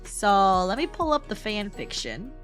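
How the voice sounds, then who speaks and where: A woman's voice: mid-range voice, big pitch swings, unhurried, fairly bright tone, soft background music. A woman livestreamer chatting casually and playfully with her viewers in a giggly, bantering way. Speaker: VTuber livestreamer.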